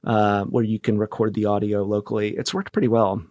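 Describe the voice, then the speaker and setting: A man in his forties chatting casually as a podcast guest, low-key and attentive. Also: flat delivery, fairly quick, a little mellow, no echo, lo-fi sound. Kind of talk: casual conversation.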